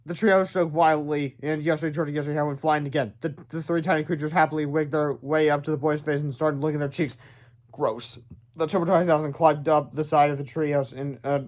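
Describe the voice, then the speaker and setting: A man reading a children's book aloud as storyteller, animated, with casual asides and stumbles over words. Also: fairly high pitch.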